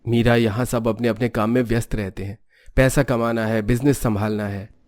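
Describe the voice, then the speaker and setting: Guy playing busy young rich husband, normal pitch, practical, moral story acting. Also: fairly even intonation, normal speed, a little mellow, no echo, studio quality, close to an everyday voice. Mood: practical, matter-of-fact.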